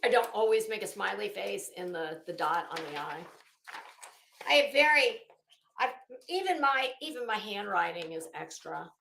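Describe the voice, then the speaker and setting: A woman's voice: mid-range voice, lively intonation, normal speed, vocal fry, bright, forceful tone, slight background noise. A woman reading documents aloud dramatically, breaking off into sarcastic, brash, profane commentary as an online host. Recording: echoey room.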